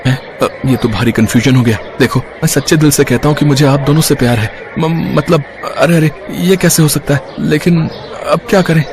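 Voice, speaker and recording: A man's voice: a little high, normal speed, crowd noise, lightly acted. A man playing a stammering, flustered young man. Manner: stammering, hesitant. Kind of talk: dramatized Hindi moral story.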